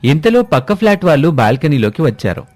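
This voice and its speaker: A man's voice: on the high side, very animated, quick pace, a little mellow, no room sound, faint music behind. A man narrating a scripted moral story as its storyteller.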